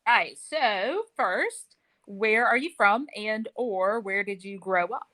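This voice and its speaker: A woman co-hosting a podcast interview, asking questions with quick short reactions. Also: mid-range voice, pushed and bright. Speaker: podcast co-host. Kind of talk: casual interview conversation.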